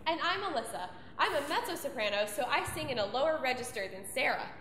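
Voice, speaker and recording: A woman's voice: high voice, animated, quick pace, sharp tone, a little hiss or hum. A woman who sings mezzo-soprano narrates a storybook tale on stage, stressing words vividly for young listeners. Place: opera house stage, live show. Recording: echoey hall with piano.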